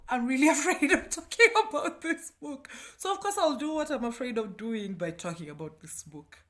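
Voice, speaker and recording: A woman's voice: sits high, lively intonation, normal speed, fairly bright tone. A woman in her thirties with a Kenyan accent, talking casually and animatedly as a book reviewer, candid and self-deprecating with playful asides. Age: 30s.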